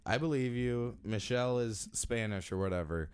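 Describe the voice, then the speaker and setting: A man's voice: medium pitch, moderate pace, darkish tone, smooth. A man hosting a podcast, chatting casually with a guest, joking and laughing often. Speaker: podcast host. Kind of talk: casual interview chat. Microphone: close studio mic.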